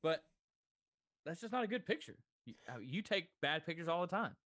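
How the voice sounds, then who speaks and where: A man's voice: fairly high pitch, big pitch swings, brisk, fairly bright tone, no echo, clean studio sound. A man co-hosting a podcast, answering questions in casual banter and teasing his partner with disbelief. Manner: incredulous, teasing, swears freely.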